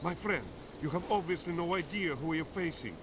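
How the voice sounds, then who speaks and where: An actor playing a proud, embittered island resistance fighter in a game cutscene, solemn and deliberate. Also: male voice, fairly high pitch, heavy background noise, natural voice. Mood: bitter. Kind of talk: video game cutscene voice acting.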